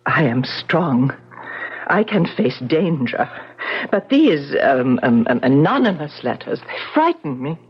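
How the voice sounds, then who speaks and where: An actress in a 1970s radio drama playing a proud, nervous prima ballerina, speaking halting, formal English with a foreign accent. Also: female voice, low-pitched, very animated, breathy edge, full character voice. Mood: troubled, resolute. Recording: muffled 1970s radio broadcast.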